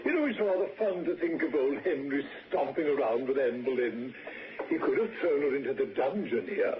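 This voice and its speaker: A man's voice: medium pitch, lively intonation, normal speed, background noise, lightly acted. A man playing a chatty, deferential English manor servant with a British accent, in 1960s radio drama style. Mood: playful. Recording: muffled old radio broadcast, voices behind.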